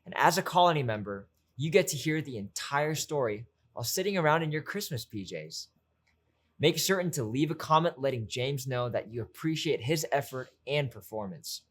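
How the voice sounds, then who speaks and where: A man's voice: mid-range voice, expressive, normal speed, fairly bright tone. Male presenter for a channel promo: mid-range voice, conversational sales-style delivery.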